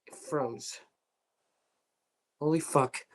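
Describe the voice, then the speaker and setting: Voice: medium pitch, fairly even intonation, extremely slow. A person muttering casually and swearing, off script. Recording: noisy, distant-sounding microphone.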